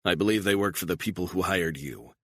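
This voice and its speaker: A man voicing a calm, menacing gunman who gives clipped, flat threats. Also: low-pitched, brisk, dry recording, clean studio sound. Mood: menacing, cold.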